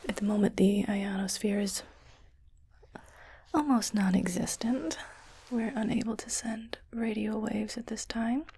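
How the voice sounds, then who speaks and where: A woman playing a calm, reassuring sci-fi doctor in a hushed, soothing whispered ASMR roleplay. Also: medium pitch, breathy edge. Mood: soothing explanation. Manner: slow, soothing, hushed delivery. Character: calm, reassuring female doctor on an alien-planet expedition.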